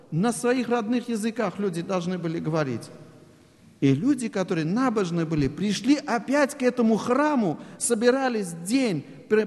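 Preacher with a high, lively voice in an echoey hall. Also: male voice. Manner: explanatory, with rhetorical questions and many fillers; speaks Russian as a second language. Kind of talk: sermon.

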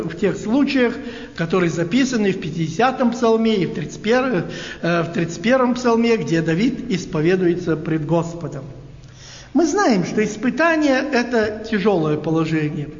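A man preaching a sermon in a halting, unhurried way, often asking his listeners rhetorical questions. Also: high voice. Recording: echoey hall, dull lo-fi sound.

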